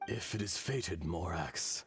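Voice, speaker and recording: A man's voice: deep voice, expressive, takes it slowly, a bit bright, slight background noise, full character voice. An actor playing an ancient male dragon spirit in a game, grave, weary and noble, speaking ceremoniously. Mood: solemn farewell.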